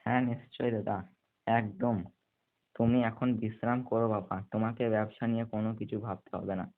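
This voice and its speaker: A man's voice: lowish voice, little pitch movement, a little fast, natural voice. A man voicing a lazy, carefree young adult son in a cartoon, making placating excuses. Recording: narrow, phone-like muffled sound.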